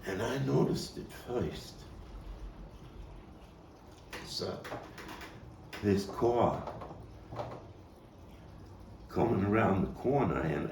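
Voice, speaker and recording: An actor as slow, haunted Brooklyn storyteller, gravelly. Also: male voice, medium pitch, full character voice. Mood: tense. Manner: halting, dramatic, with long pauses. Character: Brooklyn man haunted by a boyhood street tragedy. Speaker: playwright and actor. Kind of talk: theatrical monologue at a table read. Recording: roomy, distant, noisy live capture.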